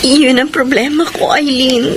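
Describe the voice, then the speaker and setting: An actress with a Filipino accent playing a defiant, distressed young daughter in a radio drama. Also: female voice, high voice, fairly even intonation, unhurried, over loud music.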